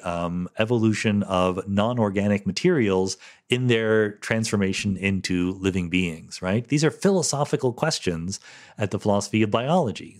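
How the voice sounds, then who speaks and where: A middle-aged man hosting a podcast, answering listener questions in a thoughtful, explanatory solo monologue, often checking in with "right?". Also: fairly low pitch.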